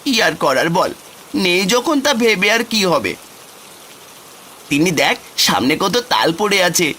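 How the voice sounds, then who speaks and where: Bright, high-pitched village boy. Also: sing-song, measured pace, full character voice. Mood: reasoning. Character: sensible, gentle village boy, older brother. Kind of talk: Bengali cartoon fairy-tale voice acting. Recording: rain noise behind.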